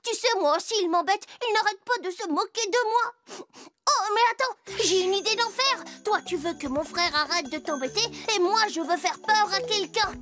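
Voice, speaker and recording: A performer voicing a gentle, earnest little ghost child in a children's cartoon, with expressive, drawn-out exclamations. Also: no room sound, heavily acted. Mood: eager. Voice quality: very high-pitched, childlike. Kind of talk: animated children's cartoon acting. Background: children's music.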